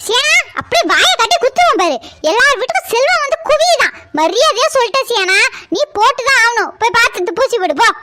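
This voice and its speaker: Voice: very high voice, lively intonation, fast talker. A performer voicing a bossy, harried mother who nags and coaxes, in a comedy skit. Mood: bossy, nagging. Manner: nagging, coaxing, protesting. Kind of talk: family comedy skit acting.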